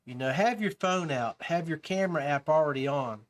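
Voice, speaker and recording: Higher-voiced man hosting a casual podcast. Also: brisk. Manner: informal, addresses listeners as guys and peeps. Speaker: podcast host. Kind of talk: casual podcast talk to listeners.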